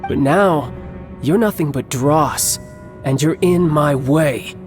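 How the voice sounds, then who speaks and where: A man's voice: fairly high pitch, slow, darkish tone. An actor playing a cocky young male villain in a video game, smug, taunting and theatrical, eager for battle. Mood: cold, disdainful. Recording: faint music behind the voice.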